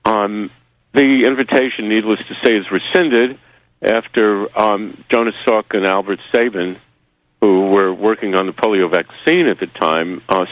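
Male guest, low pitch, dry close sound, halting with lots of fillers, wry asides. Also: dry recording, low-quality recording. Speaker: magazine publisher and interview guest. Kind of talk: rambling radio interview answers. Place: New Zealand.

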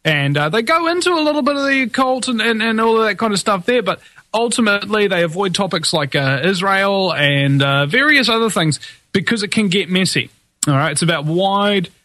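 Male radio host, higher-pitched whiny voice, candid meandering monologue, dry booth sound. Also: a bit bright, no echo. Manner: candid, rambling, self-critical. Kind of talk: solo talk-radio monologue.

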